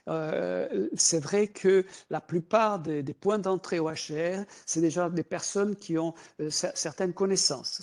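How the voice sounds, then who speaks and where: Hesitant gravelly-voiced man presenting. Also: on the high side, darkish tone. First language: Portuguese. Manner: hesitant, many fillers and repeated words. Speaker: recruiter presenting job opportunities. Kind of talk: webinar presentation.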